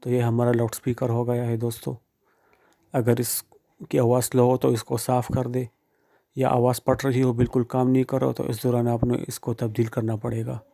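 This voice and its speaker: A man's voice: medium pitch, monotone, normal speed, vocal fry, warm tone. A man giving plain, informal step-by-step how-to instructions, speaking directly to the viewer. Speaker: phone repair tutorial presenter.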